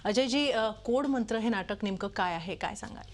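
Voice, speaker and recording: A woman's voice: medium pitch, moderate pace. A woman presenting and asking questions as a television news interviewer in a broadcast style. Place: TV news studio.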